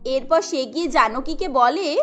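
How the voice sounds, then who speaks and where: High-pitched woman narrator, steady and even, cartoon story. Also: measured pace, soft background music.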